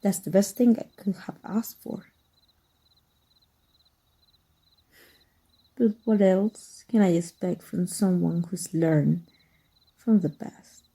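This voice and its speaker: A woman playing an exiled evil magic teacher in an ASMR roleplay, wry and fond, speaking softly and intimately with a husky, breathy voice. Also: on the low side, rough recording. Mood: fond, regretful. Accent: Mexican. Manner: wry, unhurried, intimate, addressing a former student.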